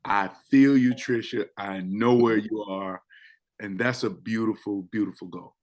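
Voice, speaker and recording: A man's voice: fairly low pitch, unhurried. A man who is a public speaking coach and co-host, chatting on a talk show and repeating phrases for emphasis. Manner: animated, swings loud and soft for effect. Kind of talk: casual show chat.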